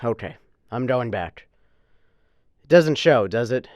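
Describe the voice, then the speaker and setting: A man's voice: mid-range voice, expressive, slow, a little mellow, no echo, studio quality. An actor playing a weary, anxious male police chief in a radio drama, speaking earnestly.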